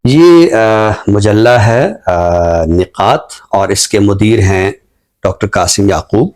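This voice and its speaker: A man with a fairly low voice and a Pakistani accent speaks as a literary commentator. His delivery is measured and formal, with thoughtful pauses inside sentences. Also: animated, darkish tone. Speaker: literary talk show guest and book reviewer. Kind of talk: unscripted book commentary and poetry recitation.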